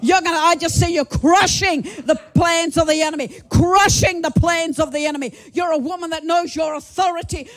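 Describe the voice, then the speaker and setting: A middle-aged woman delivering prophetic words over church members in a small church hall. She holds a handheld microphone and speaks fervently, with many repetitions, and at times rises to shouts of praise. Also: high voice. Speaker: prophetic minister. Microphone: handheld mic.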